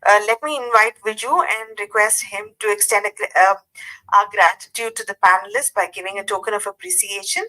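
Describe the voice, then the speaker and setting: A woman's voice: medium pitch, sharp tone, low-quality recording. Polite woman moderating a panel. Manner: polite, brisk, with fillers. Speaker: event moderator. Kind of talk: hosting a panel event.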